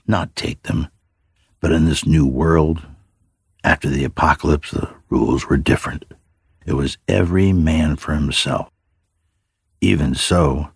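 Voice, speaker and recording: A male narrator with an extremely deep, husky voice and a gravelly creak. He reads dramatic fiction in a measured, brooding way. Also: dry recording, studio quality. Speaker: story narrator.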